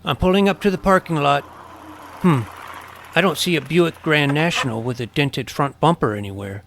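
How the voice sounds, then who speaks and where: An actor playing an eager, suspicious, jumpy twelve-year-old boy vampire hunter in an audio drama, with a creaky edge to the voice. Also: fairly high pitch, moderate pace, a bit bright, a little hiss or hum. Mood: watchful, chatty.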